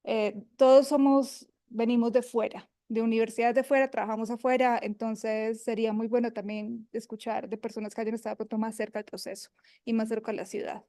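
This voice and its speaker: A woman's voice: a little high, level tone, fairly quick, dark tone, no echo, studio quality. A Colombian woman from the coffee region, a guest researcher giving a friendly academic presentation with many fillers and restarts. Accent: Colombian, from Armenia (coffee region). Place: university seminar room.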